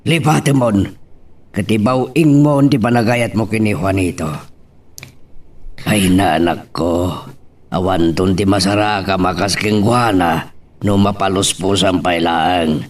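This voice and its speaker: Man acting slow, deep old Ilocano father. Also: distant mic. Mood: urging. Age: older. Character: old rural father, practical and pressing, fond of his daughter. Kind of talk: Ilocano audio drama acting.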